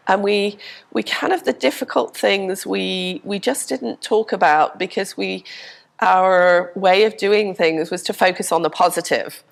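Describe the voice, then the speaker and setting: A woman's voice: medium pitch, unhurried, vocal fry. A middle-aged woman from England with a Liverpool accent and a head cold, openly and reflectively telling her life story as an interview guest. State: has a cold, congested. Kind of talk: casual interview conversation.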